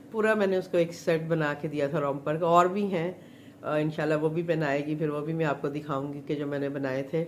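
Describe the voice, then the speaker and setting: A woman's voice: deep voice, a little hiss or hum. Chatty middle-aged woman, sore throat. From Pakistan. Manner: chatty, rambling, many fillers. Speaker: home chef. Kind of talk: casual live chat.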